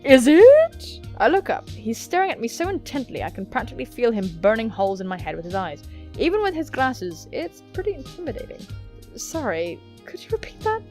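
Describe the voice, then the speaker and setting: A woman's voice: on the high side, fast talker, soft background music. A woman hosting gaming videos, reading a visual novel aloud with playful, theatrical commentary and casual swearing. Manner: expressive, theatrical, jokey swearing.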